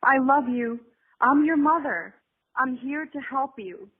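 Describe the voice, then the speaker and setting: A woman's voice: high voice, lively intonation, a little slow, breathy edge, exaggerated voice. A woman playing a worried, reproachful middle-aged mother on a phone voicemail in an audio drama, scolding and pleading. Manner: pleading, scolding, switches into Spanish. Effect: voicemail phone filter. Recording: muffled, band-limited phone voicemail.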